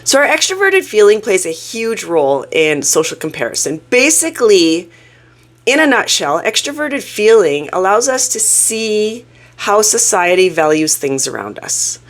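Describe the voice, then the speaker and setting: A woman hosting her own channel, sounding croaky from a cold as she talks through a topic in a casual, earnest, self-reflective way. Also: mid-range voice, sing-song, moderate pace. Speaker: YouTube channel host. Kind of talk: casual talking-head explainer.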